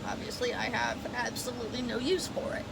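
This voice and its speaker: Young woman vlogging at home, stuffed up nose, high voice, loud fan n AC going behind her. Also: flat delivery, a little fast, vocal fry, very noisy. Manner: chatty, rambling, self-correcting. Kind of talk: casual vlog chat. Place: bedroom at home with AC and fan running.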